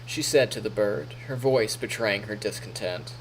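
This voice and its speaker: A man's voice: fairly low pitch, moderate pace, slight background noise, rough recording. A man live streaming, reading story narration aloud in a playful, theatrical way with casual asides.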